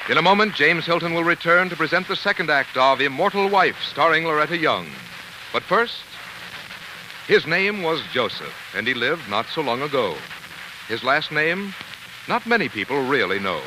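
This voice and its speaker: A man's voice: fairly high pitch, sing-song, moderate pace. A man announcing on 1940s radio, reading scripted sponsor copy in a polished, earnest, oratorical way. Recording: noisy old 1940s radio recording.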